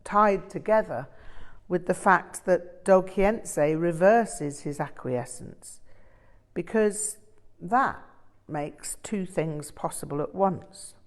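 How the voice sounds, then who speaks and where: A woman's voice: fairly low pitch, takes it slowly. A woman reading an audiobook as an earnest, respectful Western male disciple who explains things emphatically. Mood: emphatic, explaining. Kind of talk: audiobook character dialogue.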